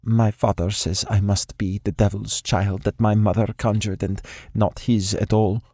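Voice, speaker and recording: A man's voice: lowish voice, little pitch movement, measured pace, dark tone, no echo, studio quality. A man reading an audiobook, voicing a spirited, blunt young woman in a raised voice. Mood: insistent. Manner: insistent, blunt. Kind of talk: audiobook character voice.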